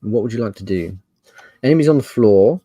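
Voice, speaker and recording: A man's voice: on the low side, expressive, brisk, warm tone, breathy edge, no echo. A man narrating an improvised tabletop game as game master, conversational and descriptive.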